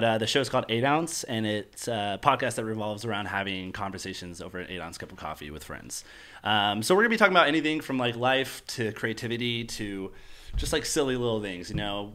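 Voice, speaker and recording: A man's voice: lowish voice, fairly quick, pushed and bright. A young man hosting a podcast, talking casually and enthusiastically with lots of fillers. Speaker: podcast host. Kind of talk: casual chat. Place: podcast studio.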